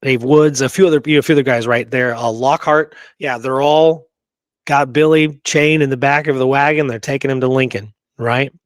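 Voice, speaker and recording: A man's voice: medium pitch, steady tone, brisk, no room sound, studio quality. Emphatic man telling history stories casually. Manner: emphatic, keeps checking in with "right?". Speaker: history show co-host. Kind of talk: casual history discussion and storytelling.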